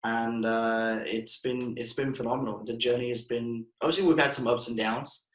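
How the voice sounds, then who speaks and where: A man's voice: mid-range voice, steady tone, normal speed, echoey room, rough recording. A man in his twenties from Bulgaria, a marketing guest in a casual interview, talking with many restarts and fillers. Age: 20s.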